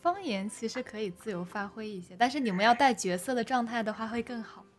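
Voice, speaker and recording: A woman's voice: fairly high pitch, expressive, quick pace. A woman hosting a casual group chat, organising the others and handling the music. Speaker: event host running the background music.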